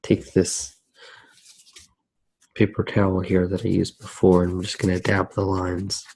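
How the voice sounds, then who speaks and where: A man giving soft-spoken ASMR commentary as he draws, musing aloud in a hesitant way with pauses. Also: low voice, completely flat delivery, creaky, slight background noise, poor audio. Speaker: host of an ASMR drawing channel.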